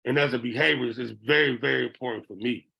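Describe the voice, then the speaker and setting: A middle-aged man with a raspy, gravelly voice gives a workshop lecture to educators. He speaks at an ordinary pitch and keeps checking in with his listeners by asking "okay?" His voice has a husky, fry-laden edge. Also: fast talker, pushed and bright, poor audio. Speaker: behavioral interventionist.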